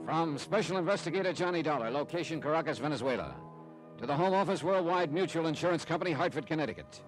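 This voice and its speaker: An actor playing a wry, hard-boiled American insurance investigator, narrating an old-time radio detective drama in clipped, dramatic phrases. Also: male voice, a little high, sing-song, fast talker, music in the background, poor audio. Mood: brisk, wry.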